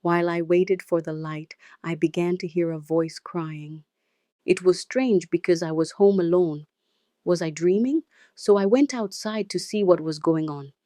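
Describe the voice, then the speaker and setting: A woman's voice: fairly low pitch, a little slow, dark tone, no echo, studio quality. A woman with a Nigerian accent telling a dramatic first-person story as its narrator.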